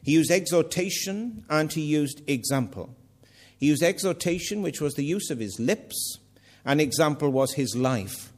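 A man's voice: on the high side, expressive, normal speed. An older man with a Welsh accent preaching a sermon, earnest with self-mocking humour. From Wales.

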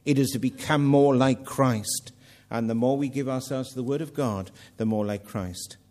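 A man's voice: mid-range voice, normal speed, darkish tone. An older man with a Welsh accent preaching a sermon, earnest with self-mocking humour. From Wales.

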